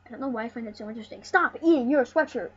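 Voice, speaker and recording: An excitable, rambling host giving casual, jokey commentary over a video game. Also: medium pitch, very fast, husky. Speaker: host of a gaming channel. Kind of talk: casual let's play commentary. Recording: dull lo-fi mic with loud noise.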